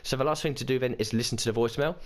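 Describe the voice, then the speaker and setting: A man hosting a racing game let's-play, chatting casually and thinking aloud, his voice croaky and hoarse from a cold. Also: medium pitch, rapid-fire. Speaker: gaming channel host.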